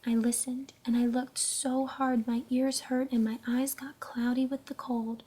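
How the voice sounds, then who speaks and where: A woman reading a children's story aloud in a gentle, hushed, unhurried way, pausing to put questions to her listeners. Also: high voice, flat delivery, breathy edge. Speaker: storybook reader.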